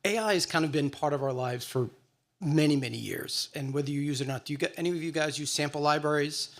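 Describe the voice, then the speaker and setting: A man's voice: on the high side, fast. A man on a panel who works as a composer, explaining things eagerly. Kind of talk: panel discussion.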